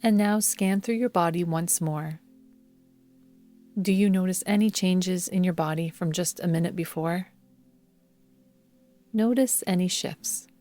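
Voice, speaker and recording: A woman guiding a yoga nidra meditation in a soothing, measured manner, leaving long pauses between phrases. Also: fairly low pitch, mellow. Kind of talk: guided meditation narration. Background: background music.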